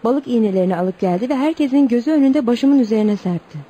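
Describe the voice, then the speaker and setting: Woman acting a high-voiced earnest young bride-to-be. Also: animated, fast, mellow, dull audio, natural voice. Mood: storytelling. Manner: earnest, theatrical storytelling. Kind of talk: Turkish radio theatre acting. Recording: noisy old radio drama recording.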